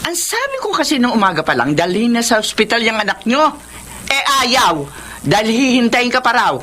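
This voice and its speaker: Matinis at matalas-dilang biyenan. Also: female voice, on the high side, very animated, moderate pace, a bit bright, slight background noise. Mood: scornful. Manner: nagging, disparaging, commanding. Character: scolding, sharp-tongued grandmother and mother-in-law. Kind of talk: Filipino radio drama acting.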